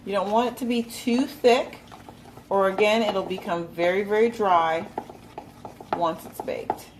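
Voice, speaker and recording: A woman giving a relaxed, chatty recipe demonstration at home, talking with plenty of fillers. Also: medium pitch, unhurried, roomy sound, far from the mic, a little hiss or hum, low-quality recording. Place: home kitchen.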